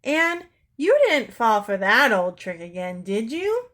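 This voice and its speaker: Woman doing a weary cross mother. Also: measured pace. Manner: low, calm, then cross.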